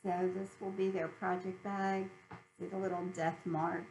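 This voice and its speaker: A woman's voice: lowish voice, level tone, a little slow, a little mellow, rough recording. Chatty American woman, faint background hum. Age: middle-aged. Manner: chatty, cheerful. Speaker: host of a needlework video channel. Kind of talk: casual chat to viewers.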